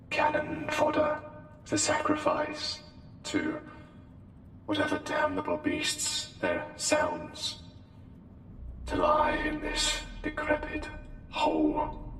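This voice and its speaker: Creepy polite plague doctor for asmr, really slow n formal, big echoey hall w music behind. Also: male voice, deep voice, a bit bright, distant mic, heavily acted. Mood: grave, disappointed. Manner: formal, archaic, slow theatrical diction. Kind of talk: ASMR roleplay, scripted monologue.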